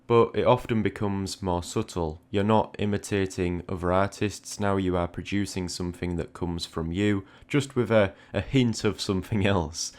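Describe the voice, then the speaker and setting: A man with a notably deep voice talks through advice in a conversational monologue. He sounds reflective, often doubling back on a word as he works out his thought, like a channel host thinking aloud. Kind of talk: reflective spoken monologue giving art advice.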